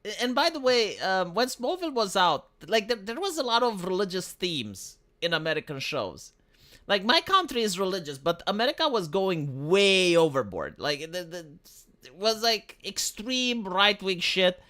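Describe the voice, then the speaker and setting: A man from Romania rambles through a casual livestream, swearing freely and often restarting his sentences. His voice is bright, and its pitch swings widely as he talks. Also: big pitch swings, moderate pace. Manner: rambling, profane, frequent restarts. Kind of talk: casual streaming chat.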